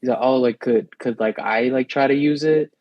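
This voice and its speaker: A man's voice: medium pitch, normal speed, dry recording, highs cut off. Rambling young man, dry close sound. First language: Spanish. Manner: rambling storytelling with many fillers. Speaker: podcast co-host. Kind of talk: casual chat.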